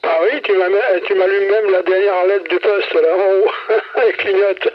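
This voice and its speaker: A man's voice: sits high, fairly even intonation, moderate pace. An older man chatting casually as a CB radio operator. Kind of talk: casual chat. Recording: muffled CB radio transmission.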